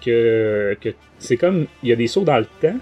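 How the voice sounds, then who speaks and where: Mellow-voiced Québécois man chatting. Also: mid-range voice, expressive, normal speed, soft background music. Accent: Québécois French. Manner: rambling, colloquial, hesitant. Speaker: podcast host and book reviewer. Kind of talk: casual book review chat.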